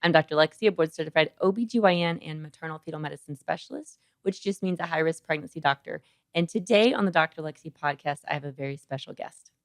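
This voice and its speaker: A woman's voice: sits low, fast talker, no echo. A woman hosting a podcast as a doctor, talking in a chatty, informal interview style. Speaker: podcast host, OB-GYN doctor.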